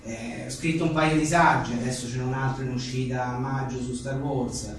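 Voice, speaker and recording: A man's voice: mid-range voice, fairly even intonation, moderate pace. A man being interviewed as a writer, talking conversationally in rambling, hesitant phrases full of little tag questions. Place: echoey venue at a live book presentation. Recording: echoey room, distant mic, faint noise.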